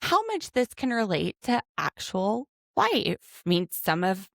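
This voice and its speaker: A woman's voice: medium pitch, unhurried, creaky, no echo, clean studio sound. A woman with a Southern accent co-hosting a podcast, recapping a reality show in a chatty, playful way. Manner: chatty, animated, playful asides. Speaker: podcast co-host. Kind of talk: casual recap chat.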